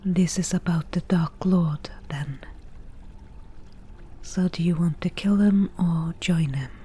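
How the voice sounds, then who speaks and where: A woman playing a wry, teasing forest witch in a softly whispered ASMR roleplay, with dry, unhurried delivery. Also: on the low side, breathy edge, heavily acted. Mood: curious, mocking.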